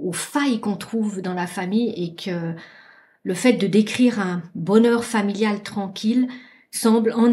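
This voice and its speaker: A woman comments on literature in a loosely spoken way, often repeating words while she thinks. Her voice has a mellow, warm tone and a mid-range pitch.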